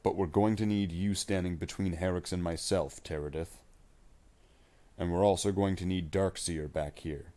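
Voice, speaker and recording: A man voicing a stern, commanding space marine in a narrated war story, giving clipped and forceful military orders. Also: deep voice, fairly even intonation, normal speed, lightly acted. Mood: urgent, resolute.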